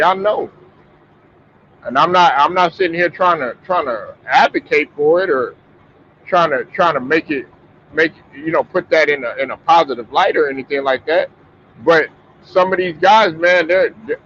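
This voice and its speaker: A man's voice: on the high side, fairly bright tone. A man hosting a casual sports talk stream, stuffed up and rambling, with many restarts and repeated words. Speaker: sports talk show host. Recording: muffled lo-fi stream audio with faint noise.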